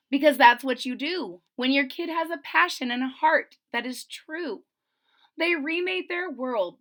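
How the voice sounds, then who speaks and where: A woman reading a rhyming children's picture book aloud, with chatty asides to her listeners. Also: sits high. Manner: expressive, chatty asides to listeners between rhymes. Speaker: read-aloud storyteller.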